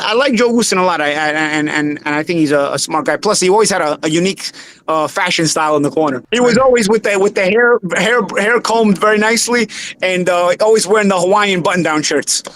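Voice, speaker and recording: A man with a thick Bensonhurst Brooklyn accent talks boxing in quick bursts. His voice sits a little high, and he keeps restarting and repeating words as he goes. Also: fairly bright tone, slight background noise. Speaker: host, former boxer. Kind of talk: casual boxing talk.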